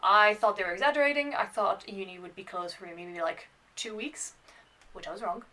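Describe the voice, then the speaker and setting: A bilingual French and English woman in her twenties talks casually in a mid-pitched voice, as in a student vlog. She rambles and hesitates, gently putting herself down as she goes. The microphone sounds slightly far from her. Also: moderate pace. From France and Ireland. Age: 20s. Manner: rambling, hesitant, self-deprecating. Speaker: student vlogger. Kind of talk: casual unscripted vlog chat to camera.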